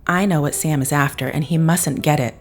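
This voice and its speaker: A very low-pitched woman played by an actress over faint music. She is a secretive conspirator, grave, urgent and commanding, in an audio drama. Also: a little fast, natural voice.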